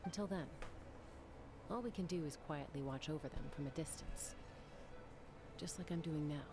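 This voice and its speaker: A woman playing a calm, caring warrior of a sky tribe in a video game, earnest and explanatory. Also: deep voice, moderate pace, over music, close to an everyday voice. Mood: calm, reassuring. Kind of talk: scripted game dialogue. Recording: game audio with background noise.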